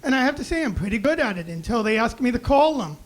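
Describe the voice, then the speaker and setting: A young man with a high, raspy voice doing a self-deprecating deadpan stand-up set on a club stage mic. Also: quick pace. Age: young adult. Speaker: stand-up comedian. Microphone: handheld stage mic.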